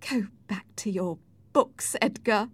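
A woman's voice: mid-range voice, slow, slightly rough, voice pushed up. A woman voicing a feverish, self-pitying and defiant young wife on her deathbed in an audiobook, with plaintive, melodramatic delivery. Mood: bitter, defiant. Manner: melodramatic, wailing. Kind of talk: audiobook character acting.

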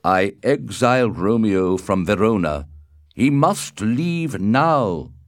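A man voices a commanding storybook prince. He speaks slowly and loudly with raised, emphatic pitch, stern and authoritative as a judge. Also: animated, no echo, clean studio sound. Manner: loud, authoritative, deliberate.

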